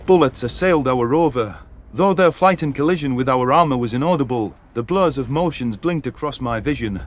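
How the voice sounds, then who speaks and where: A man's voice: medium pitch, lively intonation, a little fast, slight background noise. A man narrating a dramatic first-person science fiction story as an audiobook.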